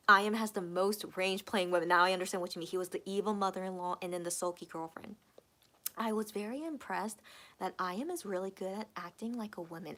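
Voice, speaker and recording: A young woman talks casually on a live stream, answering her chat comments one by one. She rambles and repeats herself, with plenty of fillers. Her voice sits at an ordinary middle pitch for a woman. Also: a bit bright. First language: English. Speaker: livestream host reading chat.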